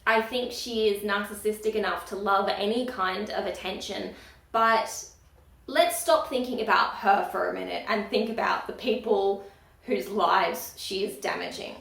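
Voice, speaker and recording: A woman giving outspoken, emphatic commentary in a video blog, talking loudly and firmly about what she stands for. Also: medium pitch, moderate pace, creaky, reverberant. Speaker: video creator. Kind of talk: opinionated commentary vlog.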